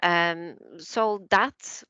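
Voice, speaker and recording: A woman who coaches languages, Polish by first language, talks through a podcast interview in a lower, bright and crisp voice. She fills her speech with ums, restarts and small laughs. The audio is dry but somewhat dull and lo-fi. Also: fairly even intonation, very slow, dry recording. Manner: chatty, laughs often, many fillers and restarts.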